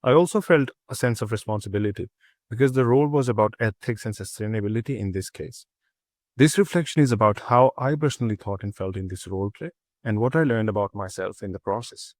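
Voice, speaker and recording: A young man from Pakistan, a student, reading a prepared reflection in a steady, earnest way with small restarts. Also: fairly low pitch, fast talker, dry recording, clean studio sound.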